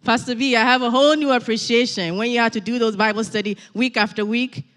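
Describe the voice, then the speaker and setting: A middle-aged woman preaches in an animated, emphatic way, repeating her key lines for effect. Her voice is bright and forward. A long reverb trails after her words, as if she stands in a big church hall. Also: a little high, quick pace. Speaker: guest preacher. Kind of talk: sermon with personal asides.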